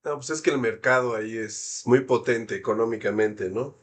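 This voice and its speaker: A man hosting an academic seminar, introducing a guest in a friendly, measured way. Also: mid-range voice. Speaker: seminar host.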